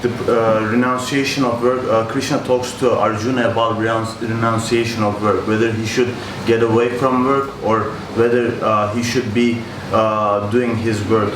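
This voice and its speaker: A man from Turkey in a yoga class, talking haltingly with many fillers. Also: on the low side. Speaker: yoga student. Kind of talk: class introduction. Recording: distant roomy mic with loud background hum.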